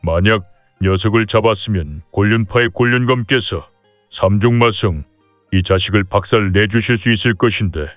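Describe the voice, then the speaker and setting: A shrewd old Buddhist abbot, voiced by a man murmurs his thoughts in a low voice and lets out dry little chuckles. It is an audio-drama character with a muttering, knowing manner. The sound is thin and phone-like, dry, with faint music underneath. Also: no echo, close to an everyday voice. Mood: indignant. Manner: chuckling "heh heh", muttering asides.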